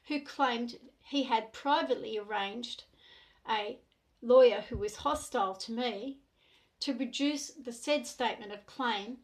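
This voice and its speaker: A middle-aged Australian woman speaking to the camera in an earnest, deliberate way, in short measured phrases. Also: fairly high pitch, low-quality recording. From New South Wales, Australia. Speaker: self-represented complainant. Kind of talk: monologue to camera.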